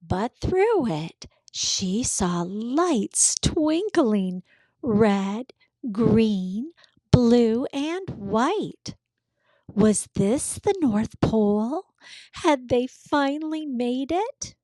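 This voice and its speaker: A woman narrating a children's picture book in a careful, expressive storytelling manner. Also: a little high, no echo. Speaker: read-aloud storyteller.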